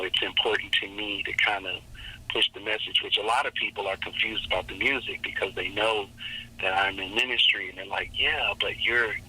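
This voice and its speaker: A male singer and minister with a raspy, low voice, speaking slowly and thoughtfully as a guest in an interview. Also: pushed and bright, low-quality recording. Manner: slow, measured, reflective. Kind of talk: interview over a call.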